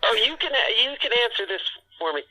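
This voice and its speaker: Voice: mid-range voice, sing-song, brisk, pushed and bright, rough recording. A host in casual chat, asking curious short questions.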